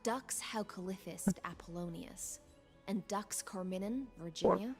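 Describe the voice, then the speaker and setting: An actress playing a loyal, formal female knight commander in a video game, speaking in a measured, courtly way. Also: mid-range voice, over music, close to an everyday voice. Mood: formal, explanatory. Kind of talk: scripted video game character dialogue.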